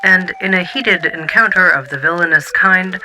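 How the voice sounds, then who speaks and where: A man playing a brisk, mock-serious news reporter, delivering a bulletin in an audio drama. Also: sits high, pushed and bright, slight background noise, rough recording. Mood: mock-serious, urgent.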